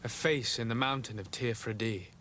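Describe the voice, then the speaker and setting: An actor playing a courteous, earnest adventurer and envoy in a fantasy game, speaking formally and with composure. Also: male voice, medium pitch, moderate pace, a bit bright, a little hiss or hum, natural voice. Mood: polite, composed. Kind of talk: fantasy video game cutscene acting.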